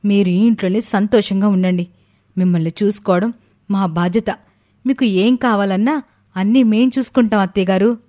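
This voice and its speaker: A woman's voice: medium pitch, fairly even intonation, normal speed, dark tone, close to an everyday voice. A woman playing a loyal, principled young daughter-in-law in a cartoon, firm yet respectful. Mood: firm, devoted.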